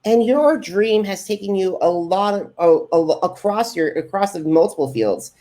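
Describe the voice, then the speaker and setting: A woman hosting an interview podcast, speaking haltingly with stumbles and repeated words. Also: medium pitch, expressive, warm tone. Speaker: podcast host. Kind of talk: podcast interview, reading introduction and questions.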